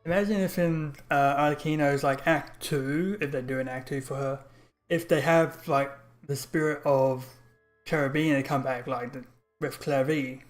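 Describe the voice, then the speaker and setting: A man's voice: on the high side, steady tone, normal speed, soft background music. A man streaming a game and chatting casually over it in a loose, rambling way.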